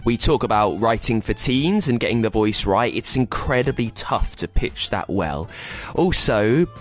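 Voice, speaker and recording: A man speaking as a podcast host, delivering a scripted but conversational introduction in a chatty, informal way. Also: medium pitch.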